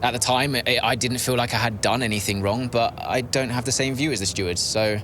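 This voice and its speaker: An actor playing a guarded, self-critical young racing driver, hesitant and measured, with faint vehicle noise behind. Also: male voice, mid-range voice, fairly bright tone, lightly acted. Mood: guarded, reflective. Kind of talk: naturalistic game acting of a media interview.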